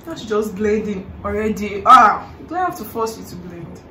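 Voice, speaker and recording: Young woman, Nigerian accent, very animated pitch, playful dramatic banter, distant mic, echoey noisy room. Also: normal speed. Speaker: YouTuber guest. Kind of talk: casual bantering chat.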